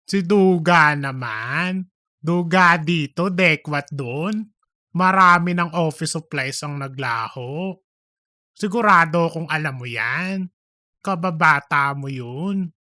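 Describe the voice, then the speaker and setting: Man playing slow, bright-voiced campy office gossip. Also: on the high side, dry recording, clean studio sound. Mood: scheming, catty. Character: gossipy, cheeky, self-promoting office worker named Cheese. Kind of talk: comedic audiobook dialogue.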